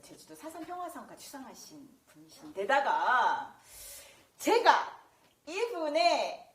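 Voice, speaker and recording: Fast, animated Korean woman interviewer. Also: sits high. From South Korea. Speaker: host, interviewer. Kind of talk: chatty talk-show interviewing. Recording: roomy echo with noticeable background noise.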